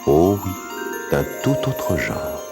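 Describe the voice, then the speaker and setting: A man narrating an animated fairy tale as a storyteller, measured and dramatic. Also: sits low, creaky, loud music behind the voice, exaggerated voice. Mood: storytelling.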